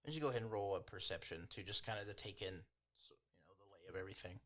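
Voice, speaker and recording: A man acting as game master, improvising tabletop game narration in an informal, hedging, unhurried way. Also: sits low, a bit bright.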